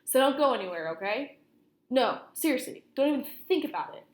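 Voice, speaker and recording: A woman's voice: high voice, expressive, fairly quick. A woman voicing a cocky, indignant male wolf from a storybook, chummy and pushy, talking theatrically straight to the listener. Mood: chummy, persuasive. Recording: roomy, echoing room sound.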